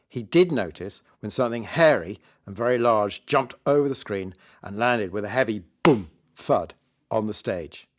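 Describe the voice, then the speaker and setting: A man narrating a children's story in a lively, scripted read-aloud style. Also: fairly low pitch. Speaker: author reading his children's book.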